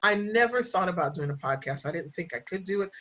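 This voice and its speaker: A woman's voice: on the low side, animated, quick pace, a bit bright, lo-fi sound. A woman chatting casually and telling anecdotes as a podcast guest.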